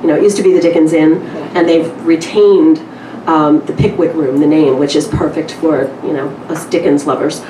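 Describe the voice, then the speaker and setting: A woman's voice: sits low, steady tone, moderate pace, warm tone. A woman speaking informally at a live literary event, with a candid, wry, conversational way of addressing the room. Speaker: publisher introducing and reading a story at a literary event. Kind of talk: informal live talk and story reading. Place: indoor live event room with small audience. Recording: roomy, distant mic with clear background noise.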